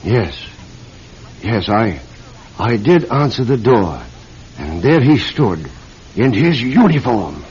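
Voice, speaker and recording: An actor playing a bewildered, distraught man making a confession, halting and overwrought in an old-time radio drama. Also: medium pitch, very animated, a little mellow, breathy edge, exaggerated voice. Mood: haunted. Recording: dull, muffled classic radio recording.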